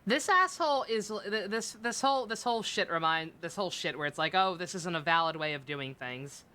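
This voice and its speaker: A woman's voice: lowish voice, animated, fairly quick, bright, forceful tone. A woman streaming a video game with casual, exasperated, sweary commentary.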